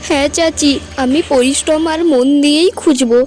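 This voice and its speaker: A woman's voice: high voice, steady tone, normal speed, a little mellow, soft background music, close to an everyday voice. A woman voicing a stubborn, devoted village girl in a cartoon, insistent and earnest. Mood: pleading, determined. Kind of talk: cartoon voice acting, dramatic dialogue.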